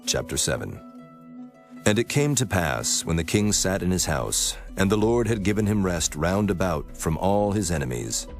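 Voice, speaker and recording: A man narrating scripture from a script in a solemn, measured manner. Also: low voice, very animated, creaky. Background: music.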